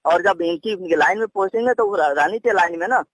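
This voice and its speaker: A man's voice: high-pitched, fast, fairly bright tone, breathy edge. A middle-aged man, a senior police official arguing on a phone call, scolding, interrupting and repeating his words as he stumbles. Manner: stammering repetitions, scolding, interrupting. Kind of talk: heated phone argument. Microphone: telephone call.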